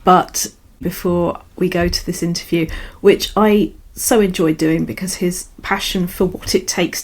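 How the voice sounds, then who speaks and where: A woman's voice: lowish voice, creaky, dry recording. A woman hosting a conversational interview, speaking in an effusive, enthusiastic way. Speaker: podcast host and interviewer. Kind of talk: warm introduction and conversational interview.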